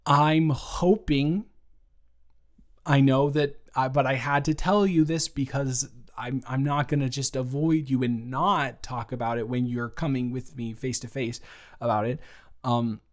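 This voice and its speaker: A man performing an improvised roleplay audio, speaking slowly and carefully with a soft, reassuring manner. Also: medium pitch, fairly even intonation. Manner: slow, careful, measured speech with pauses.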